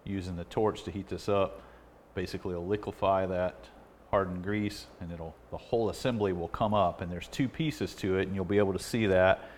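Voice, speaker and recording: A middle-aged man with a low-pitched voice talks through a hands-on repair. He explains each step casually and in plain words. The recording is made at an indoor workbench, with faint noise behind him. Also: fairly even intonation, brisk, darkish tone. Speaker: repair video host. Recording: clearly noisy workshop recording.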